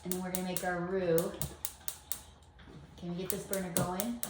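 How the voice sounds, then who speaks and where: A woman's voice: lowish voice, little pitch movement, moderate pace, reverberant, noisy background. A woman in her fifties giving a chatty, step-by-step home cooking demonstration in her kitchen. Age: 50s.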